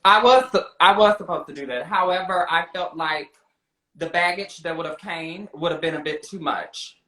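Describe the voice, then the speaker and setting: A man's voice: on the high side, moderate pace, pushed and bright. An American man chatting confrontationally, sassy, slangy and defensive, recorded with a roomy echo. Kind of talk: casual confrontational chat.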